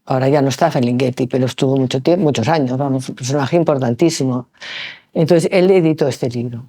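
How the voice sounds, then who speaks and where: A woman's voice: extremely low pitch, quick pace, warm tone. A woman from Barcelona, a writer, answering interview questions in a rambling, conversational way, often correcting herself and adding "¿no?" tags. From Barcelona, Spain. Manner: discursive, self-correcting, frequent "¿no?" tags. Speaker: author interviewee. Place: lecture room at a university event.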